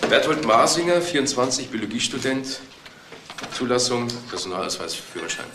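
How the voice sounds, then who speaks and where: An actor playing a businesslike police detective in a crime drama, reporting matter-of-factly in short fragments. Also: male voice, mid-range voice, big pitch swings, moderate pace, lightly acted. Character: businesslike male police detective, assistant to inspector. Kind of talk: German TV crime drama acting. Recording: very noisy, echoey soundtrack.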